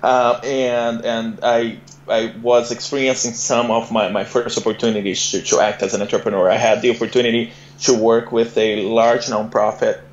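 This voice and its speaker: A man's voice: medium pitch, level tone, moderate pace, reverberant, dull audio, a little hiss or hum. Brazilian-accented young man, hesitant. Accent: Brazilian Portuguese. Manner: hesitant, many fillers and repeated words. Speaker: guest. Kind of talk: casual interview.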